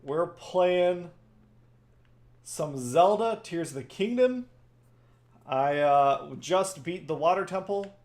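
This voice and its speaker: A man's voice: on the high side, lively intonation, slow, pauses often, a little mellow. A man whose first language is Farsi, hosting a game stream and chatting casually with viewers by name. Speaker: streamer and host. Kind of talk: casual chat while gaming.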